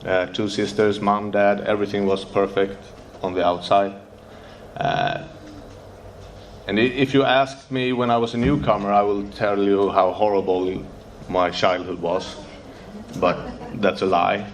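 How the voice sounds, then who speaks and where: Low-voiced Swedish man, nervous, halting non-native English, faint noise behind. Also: little pitch movement. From Sweden. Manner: halting, searching for English words, many fillers and restarts. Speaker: speaker sharing recovery story. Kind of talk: recovery meeting share.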